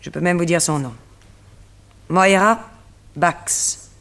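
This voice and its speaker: An actress playing a proud, defensive widow in a French radio mystery play, cool, dignified and curt. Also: female voice, lowish voice, takes it slowly, fairly bright tone. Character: proud, defensive female circus sharpshooter, recently widowed.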